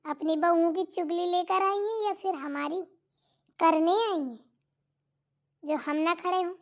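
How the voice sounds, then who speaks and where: A woman voicing a cheeky, sharp-tongued young daughter-in-law in a cartoon comedy, mocking and talking back. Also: extremely high pitch, measured pace. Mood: defiant. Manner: mocking, talks back, teasing. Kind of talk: Hindi cartoon comedy voice acting.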